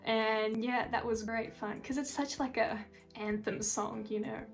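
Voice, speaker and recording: A woman's voice: fairly high pitch, fairly even intonation, a little slow, faint music behind. A young woman with an Australian accent, a singer answering interview questions in a casual, upbeat, chatty way. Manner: chatty, upbeat, frequent "like" and "kind of".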